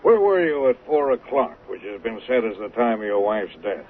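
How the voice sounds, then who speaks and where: An actor playing a police lieutenant in a 1970s radio soap, measured, probing and official. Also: male voice, lowish voice, very animated. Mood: businesslike. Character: businesslike police lieutenant questioning a suspect. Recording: muffled old radio broadcast.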